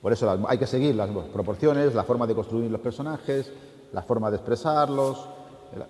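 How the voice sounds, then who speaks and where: A man's voice: medium pitch, moderate pace, darkish tone. A man speaking as an animator at a public talk, explaining informally and often repeating his phrases as he goes. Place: large room at an art school event. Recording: echoey hall, distant reverb, background noise.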